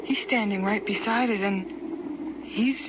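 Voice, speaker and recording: A woman's voice: medium pitch, slow pace, lightly acted. An actress playing a wary, worried wife in a horror audio drama, speaking hesitantly and cautioning her husband. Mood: puzzled, suspicious. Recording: muffled, lo-fi, heavy background noise.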